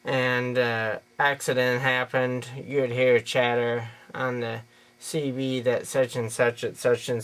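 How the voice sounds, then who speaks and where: A man's voice: medium pitch, a bit bright, clear, resonant tone. An American man giving an unscripted opinion monologue in a rambling, folksy, plain-spoken way. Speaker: video creator giving an opinion.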